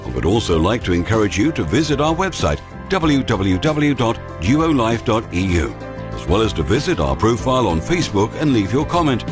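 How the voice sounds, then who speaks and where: A man's voice: lowish voice, sing-song, brisk. A man reading a formal, promotional company presentation script. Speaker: corporate presentation narrator. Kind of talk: scripted corporate narration. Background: background music.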